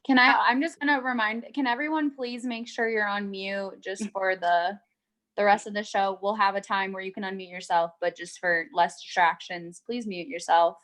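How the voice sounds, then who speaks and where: A woman's voice: medium pitch, steady tone, moderate pace, fairly bright tone. A woman moderating a panel on a video call, asking long, thoughtful questions.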